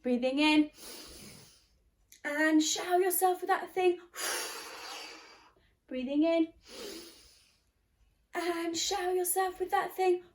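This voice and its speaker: High pitched lady teacher doing storytime for little kids, expressive, stresses words, bit echoey. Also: slow pace, pauses often. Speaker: teacher reading a story to young children. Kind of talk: children's storybook read-aloud. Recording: roomy, echoey sound.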